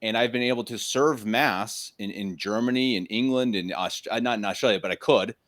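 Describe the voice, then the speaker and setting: A man's voice: fairly low pitch, a little fast, fairly bright tone. Young male podcast host, enthusiastic. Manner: enthusiastic, repeats words when he gets going. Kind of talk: casual interview conversation. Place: home room with bookshelves, indoors. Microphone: handheld microphone.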